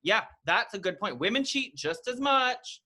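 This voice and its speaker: A man's voice: high-pitched, very animated, a little slow, pushed and bright. A young man co-hosting a casual podcast chat, playful and teasing, laughing often. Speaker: co-host.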